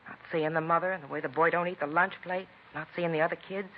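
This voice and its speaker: An actress playing a nosy, pushy middle-aged neighbor in a 1950s radio crime drama, talking in a brassy busybody way. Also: female voice, fairly low pitch, fairly even intonation, fast talker, lightly acted. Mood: insistent. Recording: lo-fi vintage radio broadcast with faint noise.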